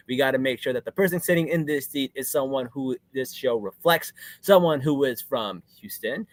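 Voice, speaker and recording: A man from New Jersey hosts a casual podcast, joking and laughing as he goes. His pitch swings around a lot in an animated way. The recording is dry and close. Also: dry recording. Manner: jokey, self-deprecating, laughs often. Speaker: podcast host.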